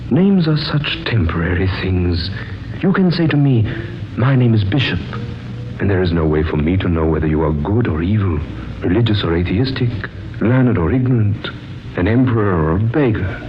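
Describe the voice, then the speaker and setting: Deep, solemn wise older man, old radio. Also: lively intonation, slight background noise. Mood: calm, cryptic. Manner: solemn, measured, cryptic, fatherly. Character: serene, wise older man, mysterious Christ-like dream sage. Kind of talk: 1940s radio drama acting. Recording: dull old 1940s radio recording with music.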